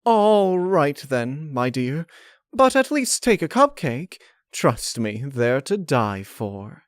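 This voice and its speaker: A man's voice: on the high side, big pitch swings, slow, dry recording, studio quality, pitched above the performer's own voice. A man voicing a genteel, dramatic female pony who is falsely sweet and scheming, with an affected, ladylike, gushing manner.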